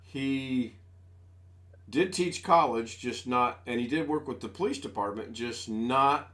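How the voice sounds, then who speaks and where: A man's voice: mid-range voice, measured pace, fairly bright tone. A man in his sixties hosting a casual live stream from home on a desk microphone, chatting in a rambling, folksy, self-deprecating way. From United States. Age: 60s. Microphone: desk USB microphone.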